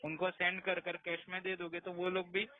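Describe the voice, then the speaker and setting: A man's voice: high-pitched, completely flat delivery, moderate pace. A man working as a loan collection agent, explaining insistently and repeating himself on a phone call. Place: call centre, on a phone line. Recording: tinny, band-limited phone call recording.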